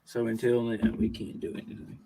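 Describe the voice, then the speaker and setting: A man's voice: on the low side, little pitch movement, a little fast, gravelly, mellow, breathy edge, no echo, poor audio. A man in casual group conversation, with informal, folksy phrasing.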